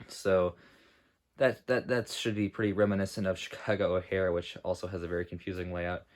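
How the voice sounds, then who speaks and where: Deep-voiced man with a sore throat. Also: flat delivery, brisk. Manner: rambling, with many fillers and self-corrections. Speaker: model airport hobbyist showing his build. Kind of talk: casual narrated walkthrough.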